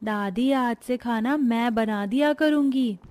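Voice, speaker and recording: A woman's voice: on the high side, slow pace, a little mellow. A woman voicing a caring, earnest sixteen-year-old granddaughter in a cartoon story, tender and concerned. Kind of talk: Hindi cartoon story voice acting.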